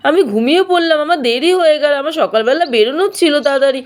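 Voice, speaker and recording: Hurried, irritated husband, played by a woman: high, brisk, animated, impatient, for a doll cartoon. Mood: annoyed. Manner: nagging, impatient. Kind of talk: children's doll-play cartoon story.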